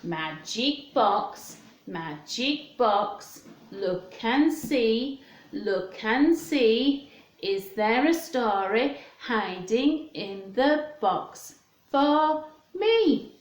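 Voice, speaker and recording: A woman's voice: on the high side, lively intonation, drawn-out words. A woman hosting storytime for young children in a sing-song, questioning manner, chatting and reading aloud. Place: indoors at home. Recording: echoey home room.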